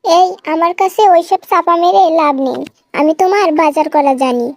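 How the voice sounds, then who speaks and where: A melodramatic young cartoon wife with a rural Bangladeshi accent. Her voice is extremely high, almost childlike, and closely recorded with a dry sound. She scolds and complains as a put-upon, nagging spouse. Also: moderate pace, no room sound. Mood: bossy, suspicious. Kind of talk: melodramatic comic cartoon dialogue.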